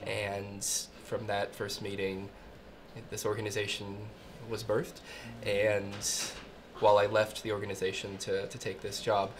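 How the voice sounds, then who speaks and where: A man's voice: fairly low pitch, completely flat delivery, measured pace, noisy background. A man from Ohio who serves as a state representative, telling his story fluently and conversationally in a documentary interview. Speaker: state representative, former minister.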